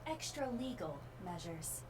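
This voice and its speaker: An actress playing a composed AI partner in a video game, speaking in a measured, formal and precise manner. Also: female voice, on the low side, very animated, music in the background, low-quality recording. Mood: matter-of-fact.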